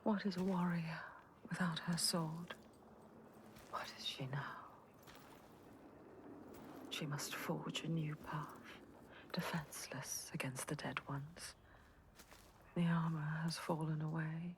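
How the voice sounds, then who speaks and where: A woman voicing a solemn, cryptic spirit who narrates a dark video game in a breathy, measured whisper over a noisy ambient bed. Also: sits low, fairly even intonation. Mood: solemn, foreboding. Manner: slow, measured, portentous.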